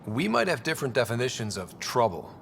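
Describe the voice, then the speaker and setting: An actor playing an earnest, easygoing young human explorer in a video game, with a polite, wry, conversational manner. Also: male voice, medium pitch, big pitch swings, a little fast, creaky, heavy background noise. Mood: calm, wry. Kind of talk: video game dialogue acting.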